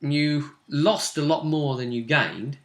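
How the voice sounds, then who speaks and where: A man's voice: fairly high pitch, takes it slowly, fairly bright tone. A man talking casually as game master of a tabletop role-playing game, chatty and fond of tag questions. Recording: echoey room.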